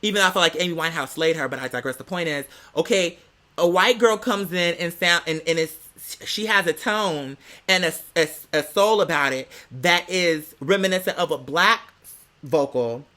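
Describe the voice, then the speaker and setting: High, bright-voiced sassy American man. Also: moderate pace. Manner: sassy, slangy, emphatic, peppered with "girl" and "bitch". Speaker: online commentator. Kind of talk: casual opinionated monologue.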